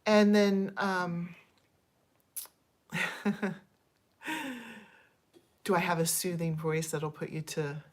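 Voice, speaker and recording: Woman, 50s, livestream host; low-ish pitch; candid, rambling, unpolished chat. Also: steady tone, drawn-out words, long pauses. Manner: chatty and digressive, thanking viewers mid-story; candid, frustrated complaints with frequent ums. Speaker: channel host.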